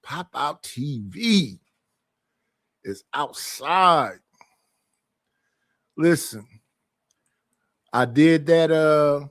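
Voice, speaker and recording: Man, slightly high voice, dry close sound, blunt casual livestream host. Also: dry recording. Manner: loose, conversational, blunt.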